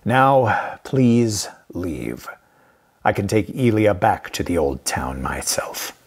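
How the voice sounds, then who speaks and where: An actor playing a guarded, haughty guardian who speaks formally and curtly in an audio drama. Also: male voice, lowish voice, very animated, slow, gravelly, breathy edge, exaggerated voice. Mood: scornful. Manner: formal, curt, dry.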